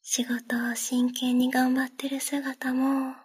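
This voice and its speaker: A young woman with a Kansai accent voicing a clingy, possessive, lovesick young woman in a breathy close whisper for an ASMR roleplay. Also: high voice, monotone, takes it slowly, full character voice. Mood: adoring.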